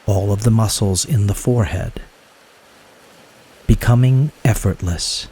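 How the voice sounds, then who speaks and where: A man guiding a yoga nidra meditation in a soothing, unhurried way, speaking in short phrases. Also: lowish voice, mellow, slight background noise. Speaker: guided meditation teacher.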